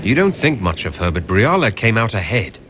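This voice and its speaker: Lively-pitched, level-headed hero. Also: male voice, a little fast, a little hiss or hum. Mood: composed. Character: diplomatic, level-headed male leader, game hero. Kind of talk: video game dialogue acting.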